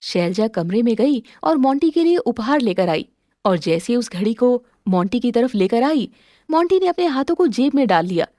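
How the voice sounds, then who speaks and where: High-pitched woman narrating a story. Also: big pitch swings, moderate pace, warm tone. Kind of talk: scripted narration of a cartoon moral story.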